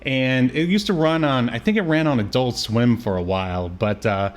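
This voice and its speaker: A man with a medium-pitched voice speaks as a podcast co-host. His delivery is casual and conversational, rambling along loosely as in a chat between friends. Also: normal speed. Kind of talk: casual chat about cartoons.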